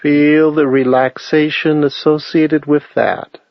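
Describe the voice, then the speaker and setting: Male meditation guide, slow and measured delivery, calm instruction, dry and slightly muffled sound. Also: on the high side, creaky, no echo. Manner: unhurried, measured phrasing with long pauses.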